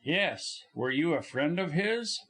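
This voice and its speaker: A man's voice: fairly high pitch, expressive, takes it slowly, a bit bright, heavily acted. A man voicing a grim, earnest doctor in a near-everyday voice. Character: grim, eager middle-aged male doctor.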